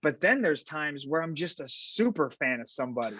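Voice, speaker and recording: A man, a fight commentator, chatting in an interview and telling lively stories, tagging his sentences with "right?". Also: fairly high pitch, brisk. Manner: animated storyteller, fillers like "right?". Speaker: podcast guest, fight commentator. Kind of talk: casual interview chat. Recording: dull lo-fi sound.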